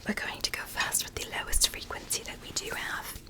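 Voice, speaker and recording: Whispering woman in a soothing ASMR roleplay. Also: brisk. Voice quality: soft, breathy whisper. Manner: soothing, gentle, reassuring. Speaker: ASMR performer playing an ear doctor. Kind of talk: ASMR medical exam roleplay.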